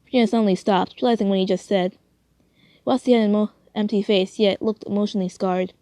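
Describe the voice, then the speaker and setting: A woman's voice: medium pitch, fairly even intonation, quick pace, mellow, no echo. A woman reading a fan-made story aloud in an informal, amateur way, stumbling over words and rereading them. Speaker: fanfiction reader.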